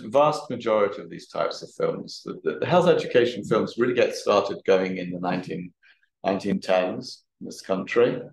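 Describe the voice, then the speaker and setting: A man giving an academic talk and answering questions, speaking haltingly and often repeating words. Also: sits low, creaky, slight background noise. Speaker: guest lecturer. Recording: roomy, echoey sound.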